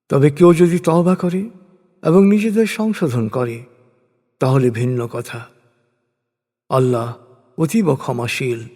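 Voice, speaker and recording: A man reading a scripture translation as a solemn, formal scripted narration, with measured and deliberate phrasing. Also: mid-range voice, a little mellow, breathy edge. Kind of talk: solemn scripted religious recitation in prose. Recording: long hall-like reverb.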